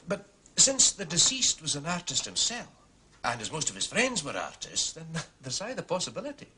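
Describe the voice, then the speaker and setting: A cautious Scottish policeman in a period drama. His voice is bright and very animated in pitch, with faint noise behind it. He is courteous and fatherly, yet hedges every answer. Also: exaggerated voice. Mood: guarded. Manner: hedging, deliberate, officialese. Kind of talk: British television period drama acting.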